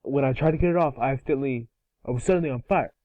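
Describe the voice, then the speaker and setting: A man voicing a cocky, excitable boy hero with casual kid slang in a cartoon table read. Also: medium pitch, rapid-fire, no room sound, narrow, tinny audio, close to an everyday voice. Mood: explaining. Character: cocky, excitable boy turned fire alien. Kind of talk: animated series table read.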